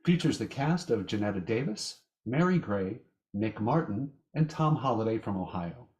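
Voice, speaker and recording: A man announcing a radio show in a measured, theatrical, scripted style. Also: fairly low pitch, lively intonation, a little mellow, muffled recording.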